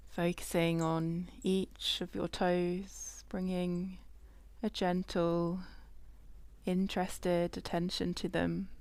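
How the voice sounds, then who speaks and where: A woman leading a guided body scan meditation in a gentle, unhurried way, leaving long pauses between phrases. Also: lowish voice, flat delivery, no echo.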